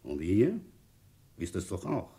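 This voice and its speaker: A man playing a shrewd, wry village police inspector in a radio play, deliberate and brooding as he tells his case. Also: low voice, huge pitch swings, mellow, husky, exaggerated voice. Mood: coolly accusing. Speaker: narrator and lead actor. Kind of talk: radio play, first-person detective narration.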